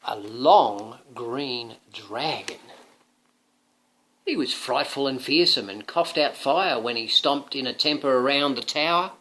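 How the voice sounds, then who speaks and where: A man reading a children's storybook aloud in an animated, playful way, like a dad with questions for his young listener. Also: medium pitch, slow pace, rough recording. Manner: dramatic, playful, often asking the listener questions. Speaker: father reading a picture book to his young son.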